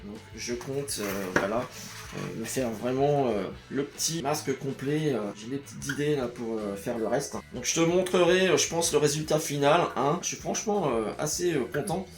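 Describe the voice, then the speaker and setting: A man's voice: mid-range voice, normal speed, soft background music. A man talking casually to camera about his home cinema purchases, hesitating often and speaking straight to the viewer. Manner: hesitant, full of "euh" fillers, addresses viewer as "tu". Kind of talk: casual unscripted chat to camera.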